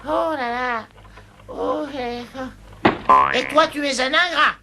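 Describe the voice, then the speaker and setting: A woman's voice: high-pitched, takes it slowly. A woman voicing a small, cheeky, always hungry animal sidekick in a cartoon, whiny and theatrical. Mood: hurt, indignant. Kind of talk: animated cartoon dub acting. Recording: noisy soundtrack with effects.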